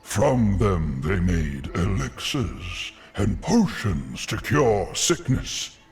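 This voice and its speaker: An actor playing an ancient, benevolent nature spirit in a fantasy game, gravelly, solemn and formal. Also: male voice, low voice, big pitch swings, drawn-out words, a little mellow, faint music behind, exaggerated voice. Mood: solemn, kindly.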